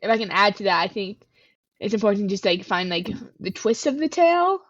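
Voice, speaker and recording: A woman's voice: mid-range voice, big pitch swings, a little fast, no room sound. A woman co-hosting a podcast, chatting casually and informally with some slang. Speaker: podcast co-host, author. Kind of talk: casual interview chat. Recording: dull, slightly lo-fi microphone.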